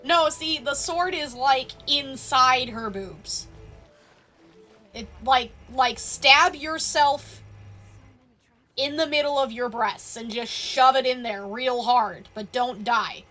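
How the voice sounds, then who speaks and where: Slow-paced, bright-voiced woman game streamer. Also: high voice. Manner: playful, rambling. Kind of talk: casual live gameplay commentary. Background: faint game music.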